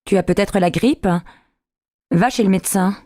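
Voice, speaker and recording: A woman acting out scripted dialogues for learners, speaking carefully and clearly. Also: mid-range voice, moderate pace, dark tone, no echo, studio quality.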